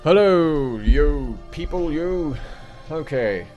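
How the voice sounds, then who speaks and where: A man's voice: on the high side, animated, slow, darkish tone, faint music behind. A man giving guest commentary in a wry, wordy, theatrical manner, scripted but conversational. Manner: wry, verbose, theatrical phrasing. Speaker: guest reviewer.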